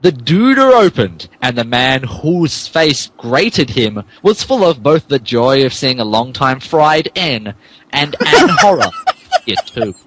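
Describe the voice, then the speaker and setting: A man's voice: medium pitch, big pitch swings, takes it slowly, a bit bright, slight background noise, low-quality recording. A man hosting a comedy podcast, moving between casual banter and a mock-dramatic reading of fan fiction. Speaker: podcast host and narrator.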